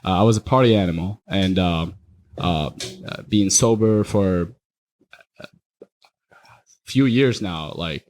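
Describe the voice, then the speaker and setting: A man's voice: deep voice, takes it slowly, pauses often, darkish tone. A young man from Iraq, talking candidly and rambling a little as a podcast guest. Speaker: guest, party promoter. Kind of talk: casual podcast chat.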